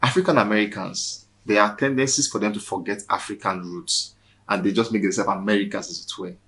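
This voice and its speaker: A man with a Nigerian accent hosting a casual interview, asking quick conversational questions. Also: on the low side, fairly bright tone. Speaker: host.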